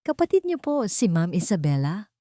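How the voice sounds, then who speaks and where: A woman's voice: lowish voice, very animated, normal speed, warm tone, no echo, studio quality. A woman voicing a humble young housemaid in an audiobook drama, speaking in a polite, deferential way.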